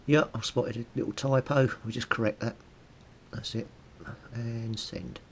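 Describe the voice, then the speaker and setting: A British man giving a casual how-to commentary, thinking aloud and muttering informally to himself as he works. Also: a little slow. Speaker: hobbyist video host. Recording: steady background noise with low rumble.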